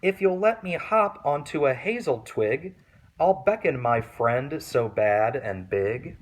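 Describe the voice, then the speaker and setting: A man's voice: mid-range voice, lively intonation, takes it slowly. A man voicing a small, clever bargaining mouse in a children's storybook. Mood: urgent bargaining. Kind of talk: children's picture book read-aloud.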